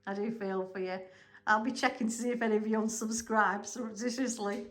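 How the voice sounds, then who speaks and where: A woman's voice: fairly high pitch, steady tone, fairly quick, far from the mic. A British woman chatting casually and teasingly to viewers as co-host of a home restoration video. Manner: chatty, informal, teasing, addresses viewers directly.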